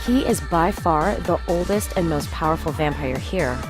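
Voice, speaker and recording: A woman's voice: low-pitched, normal speed, over music, lightly acted. A woman playing a breezy, chatty vampire informant in a video game. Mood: informative. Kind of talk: video game cutscene dialogue.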